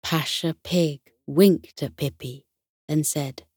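Unhurried low-voiced woman telling bedtime stories. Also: steady tone, a little mellow, breathy edge, dry recording, studio quality. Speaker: bedtime story narrator. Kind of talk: scripted children's bedtime story narration.

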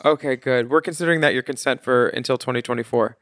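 A man's voice: mid-range voice, fast, a bit bright, dry recording, clean studio sound. A man co-hosting a podcast, teasing and witty in casual chat. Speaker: podcast co-host.

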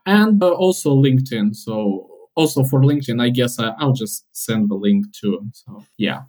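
Young man, twenties, podcast guest, hesitant, enthusiastic, mid-pitched. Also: lively intonation, moderate pace, a little mellow. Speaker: podcast guest, software developer. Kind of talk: casual podcast chat.

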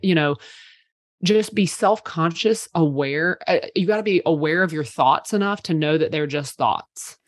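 A woman in her thirties with a low voice chats casually as a podcast guest. She tells stories with lots of "like" fillers. The sound is dry and close. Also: unhurried, no echo. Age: 30s. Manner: chatty, many "like" fillers, animated storytelling. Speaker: PR company owner, guest.

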